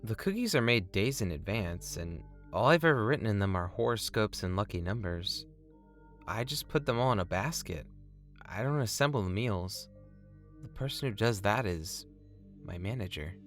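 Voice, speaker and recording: A man's voice: lowish voice, animated, normal speed, a bit bright, soft background music. A man playing an easygoing, caring young boyfriend in casual horror story dialogue. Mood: grave, hesitant.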